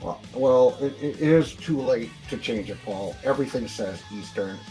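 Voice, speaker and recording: A man acts out a cautious business partner in an audio play. He reasons and pleads hesitantly at a middling pitch, with faint music behind. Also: normal speed, warm tone, close to an everyday voice, poor audio. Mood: pleading.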